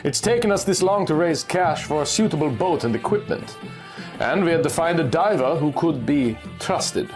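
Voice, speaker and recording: A narrator, a man, puts on a shrewd, confident Austrian ex-soldier from a pulp adventure. He speaks deliberately and conspiratorially, pitched a little high with lively swings, over faint music. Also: natural voice. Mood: sly, assured. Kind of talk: dramatic reading of pulp magazine dialogue.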